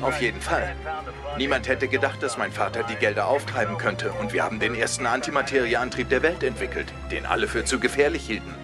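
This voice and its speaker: A man's voice: mid-range voice, a little fast, over music, lightly acted. A man playing a composed spaceship spokesman in a documentary interview voice-over. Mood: confident, hopeful.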